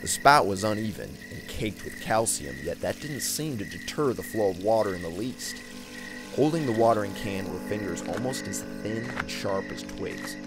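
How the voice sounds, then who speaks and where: A man with a fairly low voice narrates a horror story in the first person. His delivery is scripted, dramatic and expressive, built for suspense. Music plays clearly underneath the narration. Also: normal speed. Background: background music.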